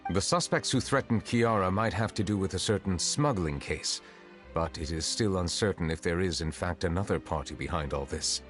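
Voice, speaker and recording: An actor playing a grave, dignified chief justice in a video game, speaking in a formal, measured, reserved way. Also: male voice, sits low, faint music behind, close to an everyday voice.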